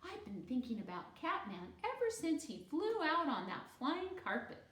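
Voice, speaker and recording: A woman's voice: high-pitched, big pitch swings, normal speed, fairly bright tone, echoey room, distant mic, voice pushed up. A woman voicing a friendly, chatty adult schoolteacher in a storybook, speaking earnestly.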